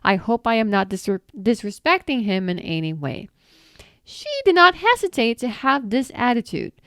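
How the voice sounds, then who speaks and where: A woman's voice: a little high, big pitch swings, normal speed, fairly bright tone, dry recording, clean studio sound. A woman hosting her own channel, reading viewer comments aloud and reacting with laughs, exclamations and mild swearing. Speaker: channel host answering viewer questions.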